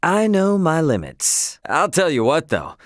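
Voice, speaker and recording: A man's voice: on the high side, wildly swooping pitch, measured pace, no room sound, studio quality. An actor playing an easygoing, cocky young male starship pilot and swordsman in a video game, with breezy, slangy, informal delivery. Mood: easygoing, friendly.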